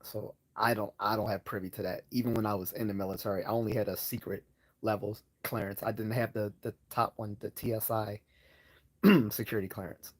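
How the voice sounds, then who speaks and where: A man hosting a casual live Q&A, hoarse from losing his voice, rambling with many fillers and restarts as he answers chat questions. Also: lowish voice, little pitch movement, fairly quick.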